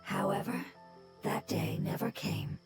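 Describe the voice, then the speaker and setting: A woman voicing a gentle, earnest small creature in a video game, speaking in formal, measured, polite phrases. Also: loud music behind the voice, close to an everyday voice. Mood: earnest, wistful. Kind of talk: video game character dialogue.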